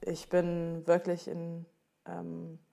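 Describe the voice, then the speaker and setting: A young American woman, a native English speaker, answering interview questions in halting German, often pausing to search for words. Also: fairly low pitch, monotone, gravelly, mellow. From United States. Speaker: guest.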